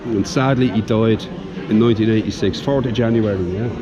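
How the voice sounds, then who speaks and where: A man's voice: lowish voice, fairly even intonation, fairly quick, highs cut off. A man with a Dublin Irish accent giving a casual, enthusiastic walking tour of his city for a vlog, often tagging phrases with "yeah". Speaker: vlogger, tour guide. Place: busy outdoor city shopping street. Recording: outdoor street with crowd chatter.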